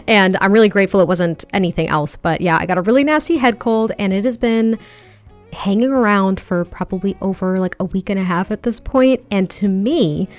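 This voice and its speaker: A woman's voice: medium pitch, moderate pace, faint music behind. A woman giving casual, chatty voice-over commentary on a game build, a little nasal from a lingering head cold, with a close mic at her desk at home. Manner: chatty, rambling, with small laughs. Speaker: video game build creator. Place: home setup at a standing desk.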